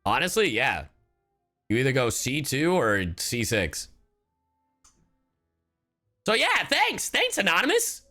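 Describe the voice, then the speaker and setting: A man live streaming a game, chatting casually in a slangy, sweary, expressive and excitable way. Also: on the high side, takes it slowly, pauses often, soft background music. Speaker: livestreamer.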